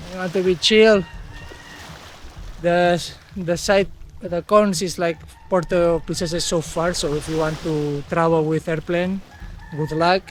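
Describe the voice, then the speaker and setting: High-voiced man vlogging in halting English. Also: a little hiss or hum. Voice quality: speaks English as a second language. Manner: halting, with many restarts and self-corrections. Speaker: travel vlogger. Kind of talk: casual walk-and-talk vlog. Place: outdoors in a small town's streets and market.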